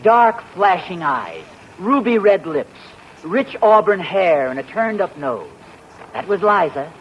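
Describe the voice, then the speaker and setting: Playful, bragging husband, lively delivery; muffled 1940s radio with hiss. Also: male voice, sits high, measured pace. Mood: teasing. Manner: teasing, playfully boastful storyteller. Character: teasing husband spinning a boastful tale. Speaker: sitcom lead. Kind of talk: 1940s radio sitcom acting.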